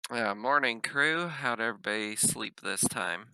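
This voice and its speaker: A man playing a composed, dryly wry female spaceship captain in a measured manner at a tabletop game. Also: mid-range voice, bright, forceful tone, clear, resonant tone, no room sound, rough recording.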